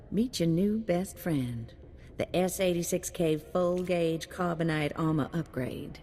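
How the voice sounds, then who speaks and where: An actress playing a folksy, easygoing bounty broker in a video game, speaking colloquially. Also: female voice, fairly low pitch, a little mellow, in a large hall, a little hiss or hum. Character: folksy, easygoing female bounty broker, drawling gambler talk.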